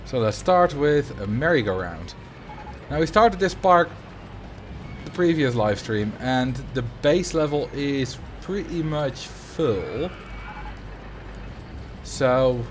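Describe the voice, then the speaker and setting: A man's voice: mid-range voice, big pitch swings, slow, long pauses, a little hiss or hum. Chatty Dutch-accented man streaming. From Netherlands. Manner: chatty, greets viewers, thinks aloud while building. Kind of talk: casual livestream commentary.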